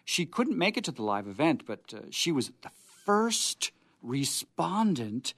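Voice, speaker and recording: A man's voice: fairly high pitch, big pitch swings, measured pace, no room sound. A man hosting a radio show in unscripted chat, effusive and theatrical, often repeating his words.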